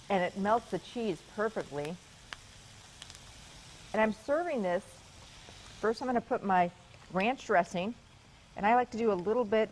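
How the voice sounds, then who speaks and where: A woman's voice: mid-range voice, slight background noise, poor audio. A chatty woman, talking her viewers through a recipe on a studio kitchen set. Her voice sits mid-range and stays upbeat and conversational. It has the clean, close sound of a lapel mic. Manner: chatty, upbeat, talks while cooking. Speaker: TV cooking segment host. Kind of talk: morning TV cooking demo.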